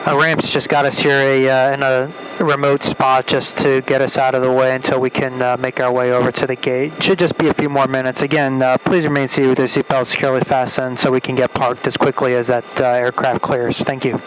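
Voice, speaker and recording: A man speaks as a pilot over an aircraft PA, with faint noise behind him. His mid-pitched voice gives routine information haltingly, with plenty of uhs. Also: level tone, a bit bright, rough recording. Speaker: airline pilot. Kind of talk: cabin announcement.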